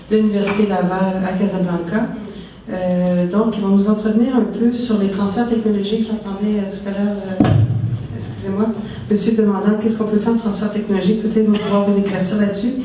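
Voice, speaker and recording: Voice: mid-range voice, mellow, slight background noise. Moderator introducing a speaker in an echoing hall. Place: large conference hall. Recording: echoey hall, distant mic.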